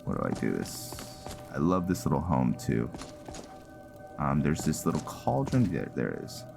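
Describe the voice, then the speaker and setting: A man's voice: very deep voice, wildly swooping pitch, moderate pace, gravelly, music in the background. A man giving casual commentary on a roleplay video game, muttering to himself and often repeating his words. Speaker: gaming content creator.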